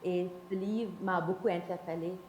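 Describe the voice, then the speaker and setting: A woman from India, a nonprofit director, telling her story on a conference panel in an earnest, halting way with restarts. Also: lowish voice, fairly even intonation, a little mellow, a little hiss or hum. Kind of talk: panel talk, personal story. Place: conference hall with audience. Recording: echoey hall sound.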